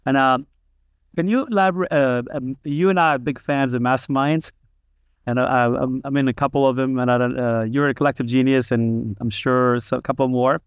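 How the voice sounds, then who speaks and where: A man's voice: medium pitch, fairly even intonation, normal speed, clean tone, dry recording. A man hosting a podcast, asking questions with many hesitant fillers. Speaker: podcast host. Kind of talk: interview questions.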